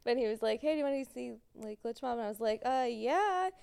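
A woman's voice: fairly high pitch, animated, brisk, creaky, darkish tone, clean tone. A woman guest on a podcast telling a story in a giggly, chatty way with quoted asides. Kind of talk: casual podcast chat.

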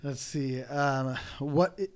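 A man's voice: mid-range voice, slow pace. A young man co-hosting a casual podcast, hesitant and often second-guessing himself.

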